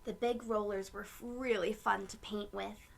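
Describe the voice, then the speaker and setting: A woman reading a picture book aloud to young children, with gentle storytelling phrasing and asides to her listeners. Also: mid-range voice, fairly even intonation, normal speed, creaky.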